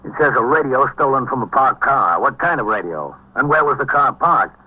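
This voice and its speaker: An actor playing a brisk, authoritative police captain who narrates in a clipped, businesslike 1950s radio drama style. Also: male voice, mid-range voice, sing-song, husky. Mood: brisk, demanding. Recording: dull 1950s radio recording.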